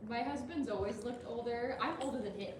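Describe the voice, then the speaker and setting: A woman's voice: fairly high pitch, fairly quick, noisy background. Giggly woman heard from far off in an echoey room. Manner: giggly, joking. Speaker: crafting group member. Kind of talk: casual chat. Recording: distant voice in a very echoey, crowded room.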